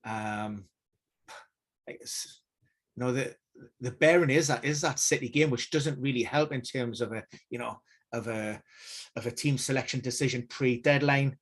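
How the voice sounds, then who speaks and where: A man giving injury news analysis on a casual live stream, measured and hedging, with many fillers and restarts. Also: mid-range voice.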